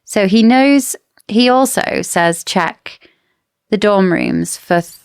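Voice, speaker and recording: A woman's voice: mid-range voice, slow pace, clear, resonant tone, dry recording, studio quality. A woman co-hosting a casual book discussion podcast, explaining and arguing her points in an opinionated way. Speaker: podcast co-host.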